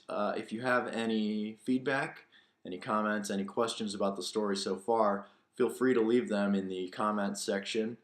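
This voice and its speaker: Low-voiced man in an echoey room. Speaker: author reading own book, host. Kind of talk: audiobook narration with casual commentary. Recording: roomy, echoing room sound.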